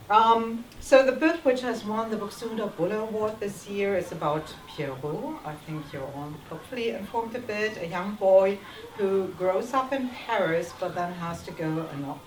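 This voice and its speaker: A teacher making a polite, formal welcome speech at a school reading, speaking English as a German native with some ums. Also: female voice, on the low side, a little hiss or hum. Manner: polite, careful, with ums and pauses. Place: school hall. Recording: echoey hall, distant mic, audible ambience.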